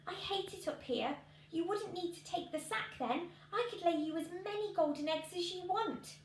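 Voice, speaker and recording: A woman voicing an unhappy magic goose in a storybook, hushed, meek and pleading. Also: extremely high pitch, moderate pace, recorded from a distance, pitched above the performer's own voice. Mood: hopeful pleading. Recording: echoey room with background noise.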